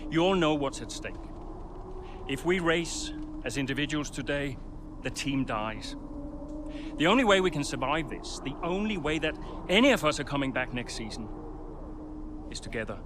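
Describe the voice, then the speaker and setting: An actor playing an embattled racing team boss in a game cutscene, firm, commanding and urgent. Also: male voice, on the high side, expressive, normal speed, pauses often, background noise, lightly acted. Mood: urgent pleading. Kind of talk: dramatic cutscene acting.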